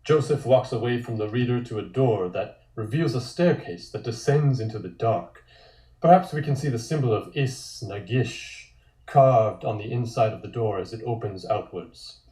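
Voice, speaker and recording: Mellow-voiced man narrating, echoey room. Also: medium pitch, expressive, normal speed. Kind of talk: reading comic panel directions at a table read.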